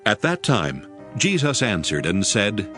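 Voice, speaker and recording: A man narrating a dramatized Bible reading with steady, reverent storytelling. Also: fairly low pitch, measured pace. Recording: studio narration with music beneath.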